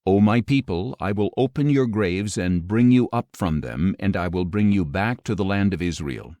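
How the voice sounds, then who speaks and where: A man acts out a solemn, commanding God in an audio Bible. The voice is low and grave, declaiming in measured phrases that rise and fall widely. The sound is dry and close. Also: expressive, mellow, no room sound. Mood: solemn, promising. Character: commanding, solemn male God speaking prophecy. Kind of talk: audio Bible scripture reading.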